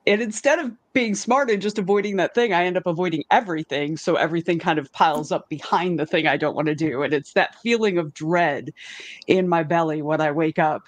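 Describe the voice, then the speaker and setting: A woman's voice: on the low side, fairly quick, no echo. A woman, an author and editor, talking frankly and candidly in casual panel chat, swearing now and then.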